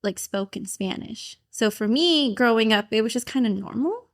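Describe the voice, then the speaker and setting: A woman's voice: on the high side, animated, moderate pace. A young woman from Mexico, a shop owner talking as a podcast guest in a casual, chatty way full of "like" and "you know".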